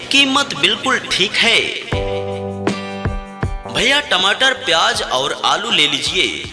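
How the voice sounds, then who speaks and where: A man with a high-pitched voice reads a scripted street-seller announcement at an unhurried pace. He calls out to passers-by in a repetitive sales pitch. Music plays clearly behind him.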